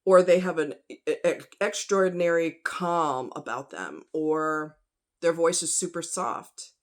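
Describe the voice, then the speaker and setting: A woman with a slightly low voice talks casually, as if to regular viewers of her vlog. Her way of speaking is folksy and conversational, and she puts emphasis on her points. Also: unhurried. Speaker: vlogger.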